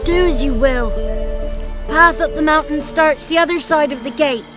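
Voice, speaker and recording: A cheeky little girl voiced by a woman talks in a very high voice, forthright and protective, quick to scold. It is fantasy game acting over loud music. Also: natural voice. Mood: grudging, cautionary.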